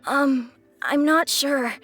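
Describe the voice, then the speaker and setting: A woman's voice: high-pitched, slow pace, fairly bright tone, faint music behind. A woman voicing an earnest, polite young son who worries over his ailing father, in a game. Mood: worried.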